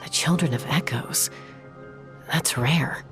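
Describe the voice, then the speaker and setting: A determined young warrior flier from a video game with a low, firm voice. She talks bluntly and decisively, with faint music behind her. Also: slow. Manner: blunt, decisive, impatient to act.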